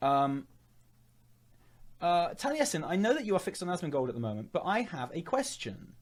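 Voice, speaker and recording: A man's voice: high-pitched, big pitch swings, moderate pace. Rambling man chatting on a stream. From England. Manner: rambling, joking, self-interrupting. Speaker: channel host. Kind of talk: casual livestream chat.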